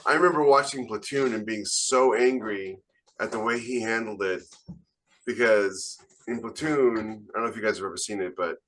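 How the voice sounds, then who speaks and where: Man, slightly low pitch, casual podcast discussion, emphatic, opinionated, long-winded points. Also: moderate pace, a bit bright, slight background noise. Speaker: podcast co-host and military sci-fi author.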